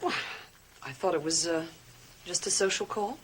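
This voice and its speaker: An actress playing a confused, troubled young bride-to-be in a hesitant, earnest way, in the style of a 1970s gothic television soap. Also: female voice, lowish voice, normal speed, a little hiss or hum, close to an everyday voice, low-quality recording. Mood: uneasy, hesitant.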